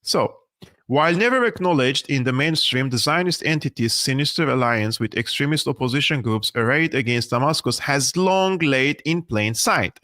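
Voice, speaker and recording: An argumentative man from Syria talks on a live stream. His voice is bright and forceful, recorded close and dry, with emphatic restarts as he presses his points. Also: medium pitch, animated, moderate pace, no room sound. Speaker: host of a political analysis channel. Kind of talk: live stream commentary, reading an article aloud.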